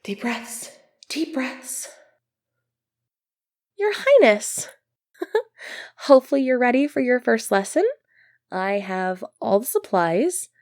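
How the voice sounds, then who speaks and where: A woman's voice: a little high, very animated, slow pace, pauses often, a little mellow, no echo, studio quality, exaggerated voice. A woman playing an earnest, easily flustered medieval knight devoted to her prince, speaking politely and formally in an ASMR roleplay. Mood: nervous, then encouraging. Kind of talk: ASMR roleplay acting, formal old-fashioned speech.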